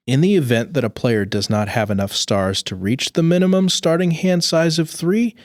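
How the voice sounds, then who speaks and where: A man reads scripted rules with precise, instructional delivery. His mid-range voice moves up and down in a lively way, and the recording is dry and free of echo. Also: mid-range voice. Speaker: co-host reading game rules. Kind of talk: scripted rulebook narration.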